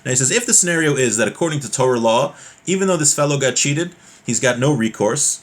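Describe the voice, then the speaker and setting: A man's voice: medium pitch, animated, fast. A man teaching a religious class informally, reading from a text and explaining it conversationally with everyday examples.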